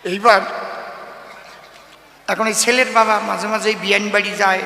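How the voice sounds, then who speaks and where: Man, comic stage storyteller, bright gravelly voice, teasing, direct to listeners. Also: high voice, little pitch movement, moderate pace. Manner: teasing, mock-bashful, addresses listeners directly. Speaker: comic storyteller performing live. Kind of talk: humorous folk storytelling to an audience. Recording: echoey reverberant stage sound with faint noise.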